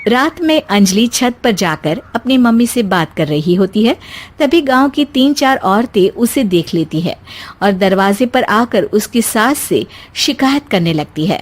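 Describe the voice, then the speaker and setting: A woman's voice: medium pitch, expressive, unhurried. A woman storyteller reading a scripted moral tale as narration.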